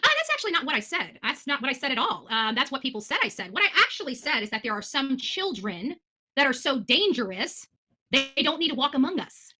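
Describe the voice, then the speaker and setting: A woman with an American accent and a very sharp, forceful voice, a bit higher than most. She talks fast and bluntly, arguing her side in unscripted live chat and swearing freely. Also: sing-song. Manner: blunt, combative, profane. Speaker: guest answering audience questions; talk show host.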